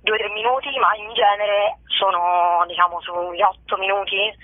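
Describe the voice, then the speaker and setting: A woman's voice: medium pitch, little pitch movement, moderate pace, no echo. A woman giving casual interview answers in a hesitant, meandering way, thinking aloud. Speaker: actress and stand-up performer being interviewed. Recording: dry, tinny phone-like narrow band.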